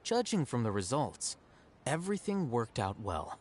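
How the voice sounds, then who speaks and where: A man playing an aloof, self-assured young scholar in a video game, coolly logical, measured and dry. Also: medium pitch, sing-song, noisy background, natural voice. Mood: composed. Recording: game music under the voice.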